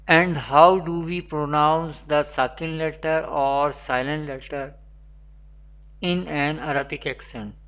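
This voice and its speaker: Quran teacher, unhurried careful delivery, repeats each rule, online lesson. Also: medium pitch, fairly even intonation, fairly bright tone. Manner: slow, repetitive teaching delivery with Arabic recitation.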